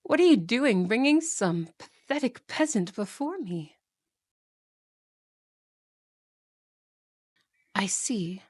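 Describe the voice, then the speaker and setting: A woman's voice: fairly high pitch, big pitch swings, measured pace, darkish tone, no echo, studio quality. A woman playing a haughty, cruel evil queen and wicked stepmother, imperious, scolding and condescending, in a fantasy audio roleplay. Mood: annoyed, haughty. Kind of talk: fantasy ASMR roleplay acting.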